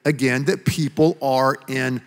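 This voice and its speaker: A man's voice: mid-range voice, steady tone, unhurried. A middle-aged man, a pastor and author, explaining earnestly in a stage sermon dialogue on a church stage, using a handheld mic. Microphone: handheld microphone. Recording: long hall reverb.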